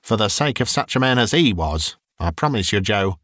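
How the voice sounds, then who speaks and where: A man voicing a coarse, greedy old charwoman who mocks the dead in brash, quick bursts. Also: fairly low pitch, lively intonation, fairly bright tone, no echo, studio quality. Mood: scornful.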